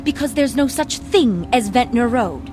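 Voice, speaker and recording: Scornful, high-voiced American wife. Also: female voice, lively intonation, a little slow, music in the background. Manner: sarcastic, biting, commanding. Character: bitter, scornful, money-hungry wife. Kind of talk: radio drama acting.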